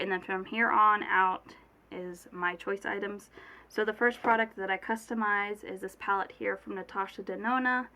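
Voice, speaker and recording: A bright-toned young woman with a cold talks loosely through a beauty unboxing, her voice a little off. She rambles, corrects herself and drifts into asides, in a relaxed, chatty way. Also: medium pitch, rough recording. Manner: chatty, rambling, many fillers and self-corrections. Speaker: beauty vlogger. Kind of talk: casual product unboxing chat.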